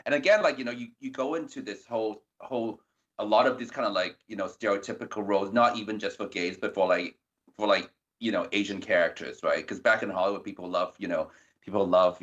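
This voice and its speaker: A man from Hong Kong, speaking English with a Hong Kong accent tinged with British, talking animatedly as a filmmaker guest on a podcast. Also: highs cut off. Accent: Hong Kong English with a slight British tinge. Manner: animated, acts out quoted exchanges with sharp pitch jumps. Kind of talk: podcast interview.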